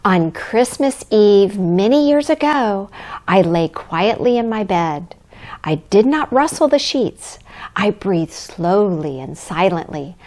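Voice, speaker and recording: A woman plays Santa's kindly wife as a storybook narrator for young children. The delivery is gentle and cheerful, taken at a leisurely pace. The melody rises and falls with plenty of expression. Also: medium pitch, lively intonation, takes it slowly. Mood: gentle, wondering. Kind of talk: children's storybook read-aloud.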